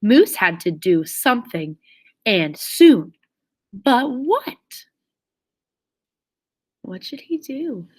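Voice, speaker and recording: A woman reading a children's story aloud expressively, with theatrical, drawn-out emphasis on key words. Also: a little high, big pitch swings. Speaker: storybook reader.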